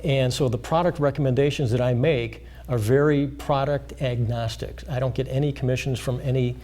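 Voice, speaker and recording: A man with a mellow, dark voice answers interview questions in a school studio. He explains at length in a measured, steady way, pausing often on fillers. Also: mid-range voice. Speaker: financial planner guest.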